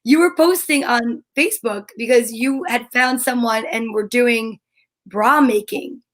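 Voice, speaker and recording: An American woman co-hosts an informal video call chat. She has a somewhat high voice and talks in a chatty, supportive manner. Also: measured pace. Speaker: co-host. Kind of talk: casual chat.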